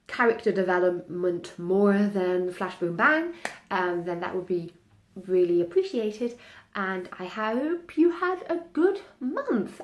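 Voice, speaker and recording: A woman's voice: mid-range voice, roomy sound. A young woman, a native German speaker, chatting casually through a reading recap with many ums and restarts. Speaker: book vlogger.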